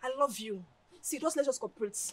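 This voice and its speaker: A high-pitched young Nigerian woman, the money-minded best friend in a screen drama. She coaxes and wheedles theatrically, repeating her pleas and slipping into Pidgin turns of phrase. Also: animated, quick pace, a little mellow, dry recording. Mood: gushing, coaxing. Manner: wheedling, coaxing, repetitive pleading.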